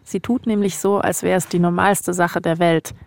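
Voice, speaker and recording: Low, creaky-voiced woman podcast host. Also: steady tone, normal speed. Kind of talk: scripted storytelling narration mixed with casual interview questions.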